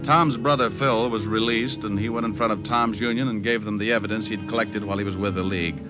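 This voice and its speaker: A man's voice: fairly low pitch, steady tone, fast talker, natural voice. A man playing an easygoing, wisecracking private detective in 1940s-style radio acting, with wry, breezy delivery. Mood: matter-of-fact narration. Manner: wry, breezy first-person narration and banter. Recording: dull vintage radio broadcast with music.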